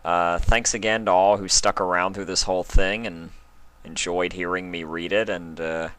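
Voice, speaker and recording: A man's voice: low-pitched, steady tone, moderate pace, fairly bright tone, clean tone, no room sound. A man speaking as a reader of fan fiction stories. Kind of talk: storybook narration and casual sign-off.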